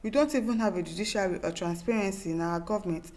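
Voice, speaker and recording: A woman's voice: medium pitch, fast, darkish tone. A woman with a Nigerian accent hosting an opinionated news commentary, speaking emphatically and in exasperation, with repetition and rhetorical questions. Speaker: talk show host reading viewer comments.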